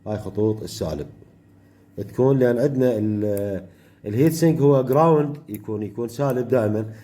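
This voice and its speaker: A man with an Iraqi Arabic accent teaching an electronics workshop step by step, pausing often mid-phrase to find the next word. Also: mid-range voice, dark tone. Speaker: electronics tutor.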